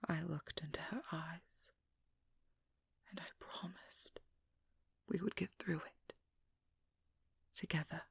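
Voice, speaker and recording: A woman playing a guarded, protective young vampire hunter in a hushed, breathy ASMR roleplay, speaking slowly with halting pauses close to the mic. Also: deep voice, steady tone, dry recording, exaggerated voice. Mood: wistful, pained. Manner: slow, halting, intimate delivery with pauses. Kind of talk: whispered ASMR roleplay, dramatic monologue. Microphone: close microphone, dry.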